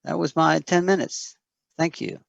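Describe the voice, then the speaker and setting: A man's voice: on the high side, steady tone, a little slow, creaky, no room sound. A man presenting a surgical talk on a video call, hesitant and full of fillers. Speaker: surgeon presenter. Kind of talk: online lecture. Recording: dull, dry video call audio.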